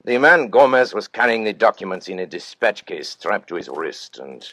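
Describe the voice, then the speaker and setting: An actor playing a suave, vain, cold-blooded master criminal, speaking with pompous, precise courtesy in old-time radio suspense style. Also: male voice, fairly low pitch, very animated, moderate pace, a bit bright, dry recording. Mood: coolly recounting.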